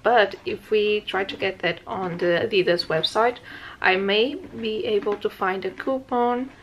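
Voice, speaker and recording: A woman's voice: medium pitch, unhurried, highs cut off, a little hiss or hum. A young woman with a Portuguese accent, vlogging casually to a handheld camera from a hotel room and her living room, chatty and easily sidetracked. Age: young adult. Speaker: travel vlogger. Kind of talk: casual vlog chat. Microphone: handheld camera at arm's length.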